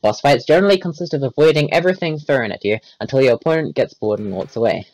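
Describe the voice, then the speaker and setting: Man rattling off a wiki page fast. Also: medium pitch, lively intonation, a little mellow, dry recording, poor audio. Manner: stumbling over words and restarting. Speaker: voice chat participant reading a wiki page aloud. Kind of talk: rapid deadpan read-aloud in a voice chat.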